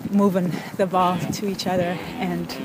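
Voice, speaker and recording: A woman in her thirties from Ethiopia, telling stories in a casual interview with thoughtful pauses and soft laughs. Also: lowish voice, flat delivery, loud music behind the voice, low-quality recording. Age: 30s. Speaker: interviewee, pickup soccer player.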